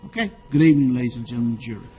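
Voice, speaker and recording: A man serving as a trial judge, giving measured, procedural instructions to a jury in a courtroom. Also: mid-range voice, lively intonation, mellow. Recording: dull courtroom audio with faint hiss.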